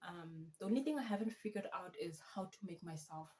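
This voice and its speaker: A woman's voice: fairly low pitch, animated, a little fast, dark tone. Chatty self-mocking woman in her thirties. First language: Afrikaans. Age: 30s. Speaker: vlogger and student teacher. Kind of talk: casual chat to camera.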